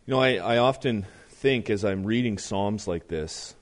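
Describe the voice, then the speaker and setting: Deep-voiced pastor, strained voice. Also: male voice, normal speed. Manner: earnest, reads scripture with rising emphasis. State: voice strained, deeper than usual. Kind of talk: sermon.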